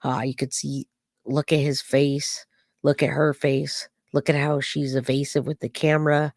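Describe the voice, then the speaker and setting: A woman's voice: rumbling low, flat delivery, normal speed, a little mellow, dry recording. A woman talks to her live chat about a clip, probing and skeptical, full of rhetorical questions. Her voice is slightly off from dust and allergies. The sound is dry and close, with no room around it. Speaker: true-crime streamer. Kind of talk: live-stream commentary to chat.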